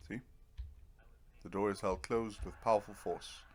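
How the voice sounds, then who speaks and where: A man's voice: lowish voice, fairly even intonation, unhurried, darkish tone. A man giving casual, rambling let's play commentary, thinking aloud as he goes. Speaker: gamer narrating own playthrough.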